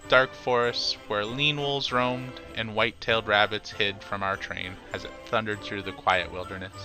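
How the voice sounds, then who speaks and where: Male storyteller, bright tone, expressive and measured children's-book narration over music. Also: mid-range voice. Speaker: storyteller reading a children's picture book aloud.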